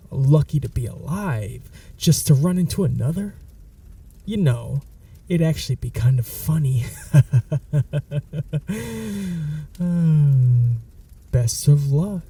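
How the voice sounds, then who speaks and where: A man's voice: medium pitch, mellow, husky, distant mic, heavily acted. A young man voicing a smug, possessive male vampire in an ASMR roleplay, mocking and dropping into whispers. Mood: cold menacing.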